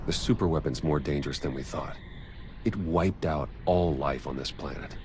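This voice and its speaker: A practical secret agent with a low voice and a wry, matter-of-fact delivery. It is video game dialogue with faint noise behind it. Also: male voice, moderate pace. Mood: concerned. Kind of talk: video game cutscene acting.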